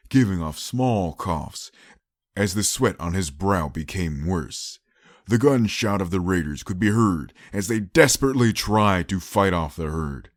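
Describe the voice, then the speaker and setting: Man, deep pitch, dry recording, dramatic audiobook narration, scripted fiction. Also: no room sound.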